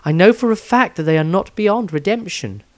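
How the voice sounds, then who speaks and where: A pious, compassionate adventuress reading her fantasy diary, played by a man. The voice is fairly high, close and dry, measured and proper in an earnest way. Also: expressive, no room sound. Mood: solemn.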